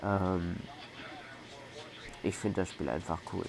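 A man hosts a casual let's play with a low, gravelly voice. He rambles in colloquial fashion and circles back over his points. Faint noise runs under the recording. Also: steady tone, slow pace. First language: German. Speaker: gaming video host.

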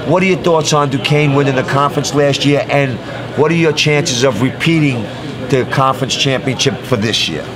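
A middle-aged man interviewing a player on a handheld mic, talking in an energetic, emphatic style at a busy indoor media event. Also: mid-range voice, normal speed. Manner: hyped, emphatic catchphrases. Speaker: sports interviewer. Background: crowd chatter.

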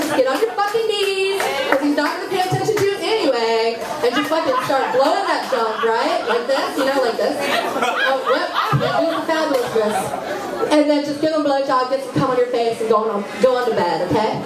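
A woman voicing a brash, foul-mouthed goth woman puppet in a live comedy act, bratty, taunting and sardonic. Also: sits high. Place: small indoor club stage, far camera. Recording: roomy, distant mic in noisy crowd.

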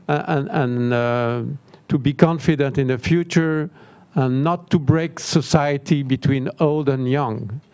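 An older man speaks slowly on a seminar panel. His voice is gravelly and closely miked, and his comes out in halting phrases. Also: on the high side, a little mellow, dry recording. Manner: halting non-native English. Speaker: expert panelist. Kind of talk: spontaneous panel discussion remarks. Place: seminar auditorium on stage. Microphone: handheld microphone close to mouth.

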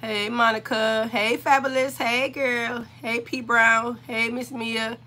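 A woman in her forties, hoarse and scratchy from being sick, chatting on a livestream from her home craft room and greeting viewers by name. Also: fairly high pitch, little pitch movement, slow pace, bright, forceful tone. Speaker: craft channel host.

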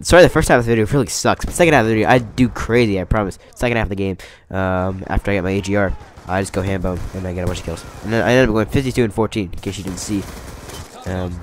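Fast-talking stuffy-nosed young man. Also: fairly low pitch, a little hiss or hum. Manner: rambling, self-correcting, upbeat. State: stuffed up with a cold. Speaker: gaming commentator. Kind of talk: casual gameplay commentary.

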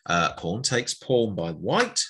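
Deep, husky chess teacher. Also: male voice, wildly swooping pitch, slow, fairly bright tone. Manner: chatty and playful, calls out moves one by one. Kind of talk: chess lesson narration over an on-screen board.

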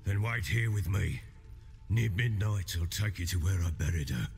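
Gravelly, grief-stricken drunken baron. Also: male voice, low voice, animated, a little mellow, faint music behind. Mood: resolved. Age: older. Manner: anguished, halting, archaic coarse speech. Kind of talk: dramatic video game acting.

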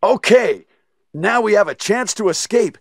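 An actor playing a gruff, decisive young action hero in a video game, terse and commanding with stilted delivery. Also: male voice, high-pitched, expressive, unhurried, no room sound, studio quality, heavily acted. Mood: terse, urgent. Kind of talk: video game cutscene acting.

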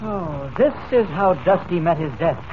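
An actor playing a level-headed investigator hero, reasoning in a matter-of-fact way in a 1940s radio serial. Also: male voice, high-pitched, animated, unhurried. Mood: probing, serious. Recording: tinny, noisy 1940s radio broadcast.

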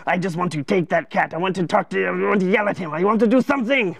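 A woman's voice: mid-range voice, fast, gravelly, no room sound, voice pushed down. A woman voicing a grumpy, hot-tempered little king for children, blustering and whining in exasperation. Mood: angry, exasperated. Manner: blustering, exasperated, whiny complaining.

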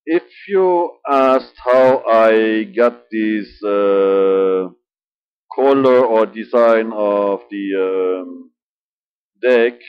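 A middle-aged man with a German accent, speaking halting English at home with many filler sounds and restarts. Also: lowish voice, clear, resonant tone, no room sound. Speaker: model ship builder. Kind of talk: casual hobby vlog. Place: small room indoors. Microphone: webcam at desk. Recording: dull lo-fi webcam audio.

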